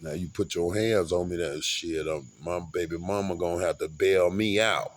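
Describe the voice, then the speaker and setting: Forties male, lower pitch, grandiose rambling monologue with many restarts. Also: steady tone, moderate pace. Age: 40s. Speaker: vlogger.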